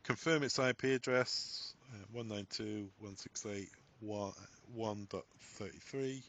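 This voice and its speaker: A man presenting a software tutorial, giving step-by-step instructions in a voice-over. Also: on the low side, a little slow, a little hiss or hum. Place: voice-over on a screen recording.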